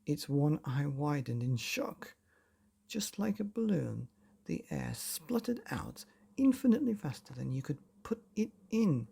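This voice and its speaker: A man reads a children's story with a mellow, gravelly voice. His delivery is dramatic and lively in pitch, with long pauses between phrases. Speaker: bedtime story podcast host and reader. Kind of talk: scripted children's story narration.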